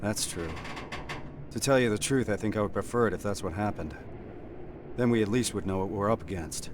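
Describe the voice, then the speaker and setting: An actor playing a stern, dutiful resistance squad sergeant with a commanding military manner in a video game. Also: male voice, fairly low pitch, fairly quick, noisy background, natural voice. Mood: grave, probing. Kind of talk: dramatic cutscene acting. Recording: game music behind the voice.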